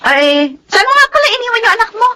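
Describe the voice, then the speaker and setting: A woman playing a pushy, chatty gambling crony in a Tagalog radio drama, excitable and coaxing. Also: very high-pitched, fast, a crowd in the background.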